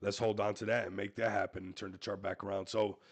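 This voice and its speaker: Hoarse man, gravelly voice, lowish pitch, casual crypto hype talk, keeps saying everybody. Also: level tone, fast. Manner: hype-driven, repeats "everybody", talks to viewers. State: hoarse, losing his voice. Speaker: crypto show host. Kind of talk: casual livestream commentary.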